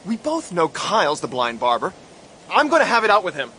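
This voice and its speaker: A curt young passenger in a radio drama, blunt and impatient. His voice is mid-pitched and bright, with animated swings, over faint noise. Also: brisk, exaggerated voice.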